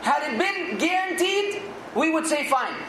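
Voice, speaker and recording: A man's voice: extremely high pitch, sing-song, a little slow, bright, forceful tone, echoey room, a little hiss or hum, poor audio. A man giving an earnest Islamic sermon, exhorting his listeners and now and then rising to emphatic outbursts. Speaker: Islamic preacher.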